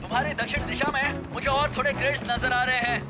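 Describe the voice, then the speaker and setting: A man's voice: very high-pitched, flat delivery, quick pace. A man playing a helpful rescue pilot giving radio reports in a cartoon dub. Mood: businesslike. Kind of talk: animated cartoon dub acting. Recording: loud music, vehicle noise behind.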